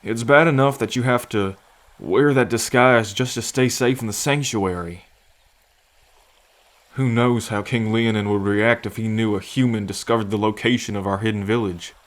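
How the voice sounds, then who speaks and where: A man's voice: lowish voice, moderate pace, close to an everyday voice. Man acting as a folksy, friendly half-bull man. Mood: gentle, concerned. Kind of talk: ASMR roleplay, acted speech to the listener.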